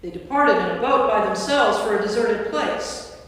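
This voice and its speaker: A woman's voice: mid-range voice, animated, normal speed, fairly bright tone. Woman, scripture narrator, staged reading delivery, very reverberant hall, far from the microphone. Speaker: narrator, priest. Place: large indoor church. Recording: echoey church hall from far off.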